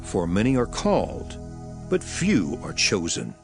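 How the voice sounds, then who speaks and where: A man's voice: lowish voice, huge pitch swings, unhurried, gravelly, over music, full character voice. An actor playing a grave, authoritative male teacher in a dramatized scripture reading, speaking solemnly and emphatically. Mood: emphatic storytelling.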